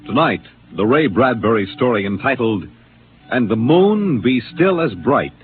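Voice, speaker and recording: A male announcer opening a 1950s radio drama with a solemn, measured delivery. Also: mid-range voice, animated. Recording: tinny old radio broadcast with music.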